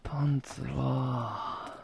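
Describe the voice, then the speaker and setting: A male actor playing an obsessive young stalker in an audio drama, coaxing softly in a breathy whisper, very close to the microphone. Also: mid-range voice, steady tone, slight background noise. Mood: teasing, soothing. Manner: slow, coaxing, soft-spoken.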